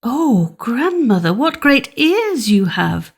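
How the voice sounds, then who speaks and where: A woman's voice: a little high, very animated, slow pace, slightly rough, voice pushed up, heavily acted. A woman voicing an innocent, polite little girl in a storybook, sweet and full of naive wonder. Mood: amazed.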